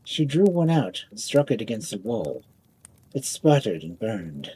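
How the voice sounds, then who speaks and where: Very husky, animated male storyteller. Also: lowish voice, measured pace, poor audio. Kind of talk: expressive fairy tale reading.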